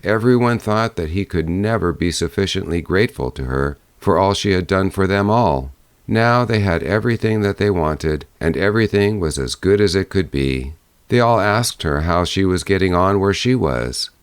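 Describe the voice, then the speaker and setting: A man narrating a fairy-tale audiobook in a measured storytelling manner. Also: deep voice, lively intonation, clear, resonant tone, no echo.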